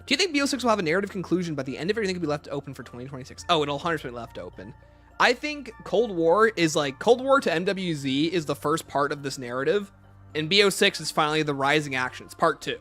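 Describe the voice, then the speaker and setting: A man speaks in a fairly high, very lively voice as he hosts a casual livestream. He fields questions with emphatic, opinionated answers, and music plays clearly behind him. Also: fast talker.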